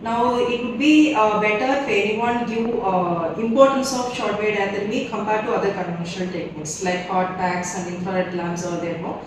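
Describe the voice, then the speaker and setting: A woman's voice: mid-range voice, normal speed, hardly any pauses. A woman with an Indian accent moderating a group discussion. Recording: echoey room, distant mic, noisy.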